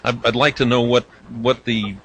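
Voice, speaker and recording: A man asking unscripted technical questions from a conference audience, halting and repeating phrases. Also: mid-range voice, steady tone, crowd noise. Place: indoor conference room.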